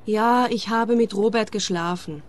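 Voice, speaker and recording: A woman's voice: medium pitch, unhurried, slight background noise, lightly acted. An actress playing a young woman in a radio drama who answers the questions bluntly and defensively, in a matter-of-fact tone. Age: young adult. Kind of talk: radio play acting.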